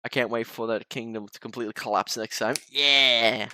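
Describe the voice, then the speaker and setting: Bright-voiced rambling male streamer. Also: mid-range voice, steady tone, moderate pace, a little hiss or hum. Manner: stumbles over words, rambling. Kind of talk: casual end-of-stream chat.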